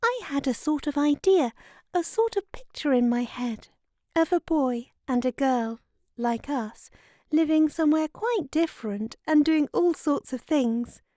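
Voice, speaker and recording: A woman's voice: high voice, animated, measured pace, dark tone, no echo, studio quality, voice pushed up. A woman voicing a dreamy but sensible young girl in a children's storybook, earnest and questioning. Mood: curious.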